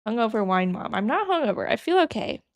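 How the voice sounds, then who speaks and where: A woman's voice: a little high, big pitch swings, fast. A young woman streamer who is sick and sniffling, chatting casually with her chat and thinking aloud as she works.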